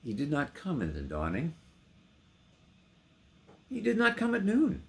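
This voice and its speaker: A man giving a theatrical, declamatory poetry recitation with sharp contrasts between hushed and loud delivery. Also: on the high side, wildly swooping pitch, a little slow, creaky. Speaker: poetry reader.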